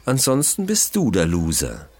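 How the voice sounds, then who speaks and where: A man's voice: lowish voice, wildly swooping pitch, normal speed, a little mellow. A man voicing a shady, cocky teenage card forger with growling, slangy hustler talk in a children's audio mystery. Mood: cocky, sly.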